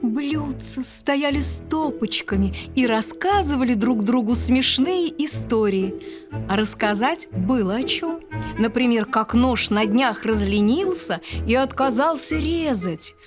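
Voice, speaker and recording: A woman tells a children's bedtime story in a lively, expressive narrator's voice. Her pitch is somewhat high and she plays with the rhythm of each phrase. Music runs clearly behind her throughout. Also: measured pace. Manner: lively, playful. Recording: studio reading over music.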